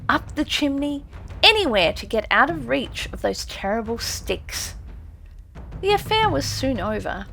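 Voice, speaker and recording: A woman narrating a classic children's story as an audiobook, reading in a lively, theatrical way. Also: a little high, creaky, slight background noise.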